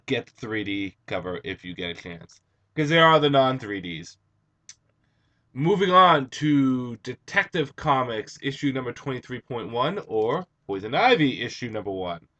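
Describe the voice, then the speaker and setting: Hoarse, raspy young guy for an eager, rambling comic review on a webcam. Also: medium pitch, fairly bright tone. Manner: enthusiastic, chatty, with frequent um and uh. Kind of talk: casual video review. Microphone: computer webcam mic.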